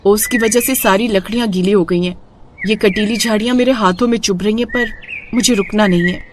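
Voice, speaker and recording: A woman's voice: mid-range voice, a little fast, a little hiss or hum, close to an everyday voice. A woman voicing a poor, orphaned young village woman, earnest, plaintive and polite, in a cartoon moral story. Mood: determined.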